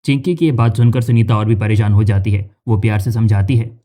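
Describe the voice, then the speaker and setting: Mellow male cartoon story narrator. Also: on the low side, steady tone, brisk.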